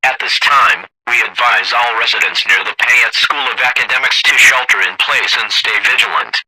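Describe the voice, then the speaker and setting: A man playing a stern, formal emergency alert announcer, reading a scripted broadcast warning in a measured, authoritative way. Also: medium pitch, pushed and bright, breathy edge, no echo, poor audio. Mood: stern, urgent.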